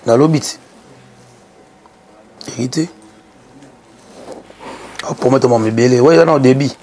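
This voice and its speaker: A young man talking as a guest in a casual studio interview, animated and repeating phrases for emphasis, on a lapel mic. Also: medium pitch, moderate pace, slight background noise. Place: small indoor studio set.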